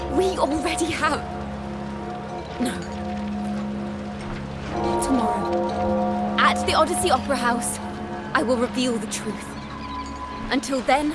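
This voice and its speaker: An actress playing a poised young woman bard in a game, speaking in an earnest, measured, eloquent and theatrical way. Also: high-pitched. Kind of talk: dramatic game story dialogue and oratory. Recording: background music under the voice.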